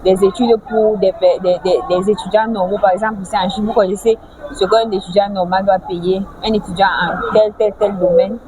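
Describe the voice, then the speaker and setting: A woman's voice: medium pitch, fairly even intonation, normal speed. A woman from French-speaking Africa giving a casual advice vlog, lecturing with rhetorical questions and frequent restarts. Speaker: vlogger. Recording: clear background noise, vehicles.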